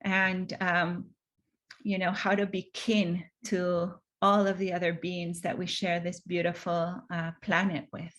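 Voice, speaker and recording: A middle-aged woman filmmaker from Venezuela, giving an online presentation over a video call in a soft manner with many pauses and ums. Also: lowish voice, flat delivery.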